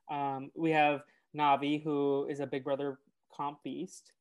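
A woman with a nasal voice, a little lower than most, co-hosts a podcast. She talks in loose, chatty runs, laughing and trailing off mid-thought. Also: level tone, slow pace, a little mellow, clear, resonant tone. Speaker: podcast co-host. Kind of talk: casual chat.

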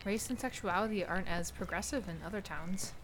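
A woman voicing a gentle friendly teen girl. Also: medium pitch, brisk, slight background noise, natural voice. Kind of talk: audio drama acting.

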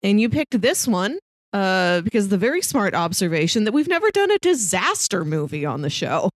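A woman's voice: medium pitch, big pitch swings, a little fast, dry recording. A woman co-hosting a podcast, chatting casually about films. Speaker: podcast co-host. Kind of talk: casual movie podcast chat.

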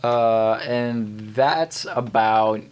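A man's voice: medium pitch, steady tone, very slow, smooth. Young guy from hungary being interviewed, normal mid voice, casual answers. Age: young adult. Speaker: interview guest, touring band member.

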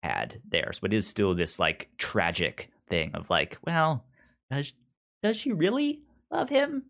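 A man co-hosting a podcast in casual discussion, halting and often stammering over repeated words. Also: on the low side, sing-song, gravelly, fairly bright tone. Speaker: podcast co-host. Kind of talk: casual comic book discussion.

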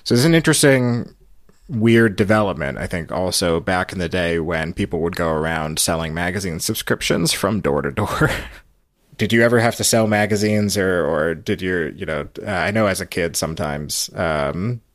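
A man's voice: low voice, moderate pace, no echo, clean studio sound. An American man hosting a podcast, chatting casually through a recap. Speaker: podcast host.

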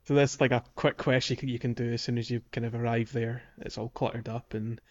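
A man's voice: medium pitch, fairly even intonation, a little fast, darkish tone, highs cut off. A man hosting a casual gaming podcast, talking in a meandering way with lots of fillers and restarts. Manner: meandering, many fillers and restarts, laughs often. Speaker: podcast host.

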